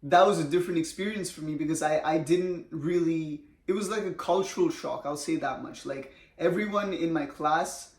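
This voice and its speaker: A man's voice: on the high side, normal speed, hardly any pauses. A young man from India, chatting casually in a storytime vlog and saying bro and man a lot. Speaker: vlogger. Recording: echoey room.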